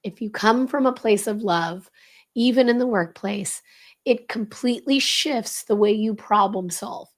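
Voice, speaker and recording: A woman's voice: on the high side, unhurried. A woman with a slightly crackly, strained voice explains leadership ideas as an expert guest, speaking emphatically and instructively with rhetorical questions. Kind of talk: explanatory interview talk.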